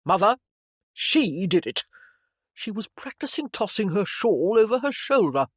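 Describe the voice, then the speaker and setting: A narrator takes on an earnest young gentleman from a period novel. He speaks formally and with emphasis, confessional and easily indignant. The pitch moves in a lively way, close and dry. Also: moderate pace, no echo, natural voice. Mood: indignant accusing.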